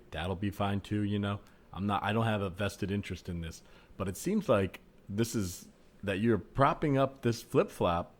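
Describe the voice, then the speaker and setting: A man improvising a level-headed, skeptical straight man in a comedy scene, reasoning in a measured, frank way. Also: lowish voice, close to an everyday voice. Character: level-headed, skeptical man advising his sister's boyfriend.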